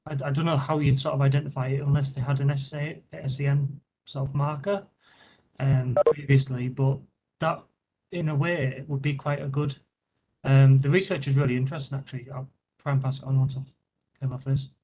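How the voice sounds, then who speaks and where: Man, councillor, mid-pitched voice, faint background noise, filler-heavy questioning. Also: little pitch movement, fairly quick, darkish tone, rough recording. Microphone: video call.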